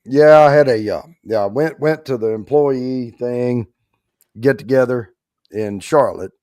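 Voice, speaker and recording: Hoarse man hosting a casual livestream. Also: mid-range voice, measured pace, darkish tone, no echo, studio quality. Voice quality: rough, strained voice. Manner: rambling, folksy, holding back volume. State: hoarse, worn out after allergy attack. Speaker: host of a whiskey giveaway livestream.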